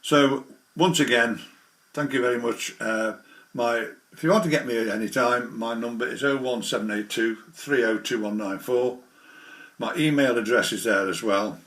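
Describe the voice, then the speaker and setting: A man giving a casual, rambling, halting software demonstration as a hobbyist. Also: mid-range voice. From the English Midlands.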